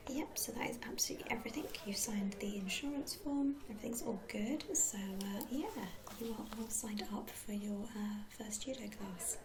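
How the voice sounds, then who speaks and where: A British woman speaks in a soft, breathy whisper. She plays a receptionist in an ASMR roleplay, using gentle, reassuring customer-service patter with endearments. A clear murmur of people sits behind her voice. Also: medium pitch, moderate pace, few pauses, crowd noise. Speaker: ASMR performer playing a club receptionist.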